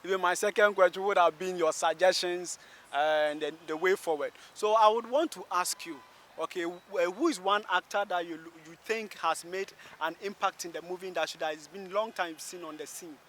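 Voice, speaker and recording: A man's voice: high voice, normal speed, a bit bright. A man with a Ghanaian accent working as a street interviewer, thanking guests and posing questions casually. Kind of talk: casual vox-pop interviewing. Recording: noisy outdoor urban recording.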